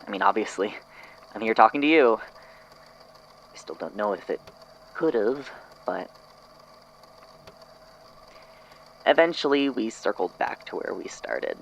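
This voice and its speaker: A man's voice: on the low side, animated, normal speed, vocal fry, pauses often, slight background noise, rough recording. A man acting as an unsettled narrator in an audio drama, hesitantly and reflectively recounting an eerie memory. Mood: uneasy recollection. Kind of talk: audio drama statement, hesitant first-person storytelling.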